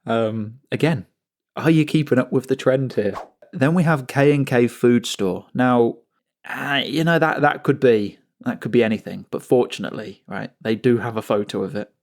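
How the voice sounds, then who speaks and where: A man hosting his own channel, talking slowly through an unscripted commentary with asides and frequent restarts. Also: medium pitch. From England. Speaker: channel host.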